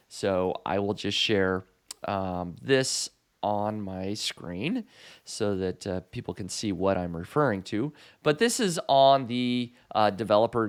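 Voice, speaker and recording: A middle-aged man hosts a podcast in a relaxed, easygoing way, often pausing on "uh" and "um". His voice sits in an ordinary middle pitch, close on a studio boom mic. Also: clear, resonant tone. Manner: relaxed, with frequent fillers. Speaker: podcast host. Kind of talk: casual podcast chat.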